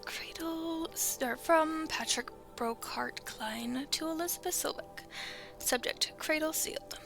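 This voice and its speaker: A high-pitched woman whispers through a game, reading messages aloud in a breathy, creaky hush. She breaks off for hesitant little asides. Game music plays clearly behind her. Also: a little slow. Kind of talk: soft whispered game playthrough.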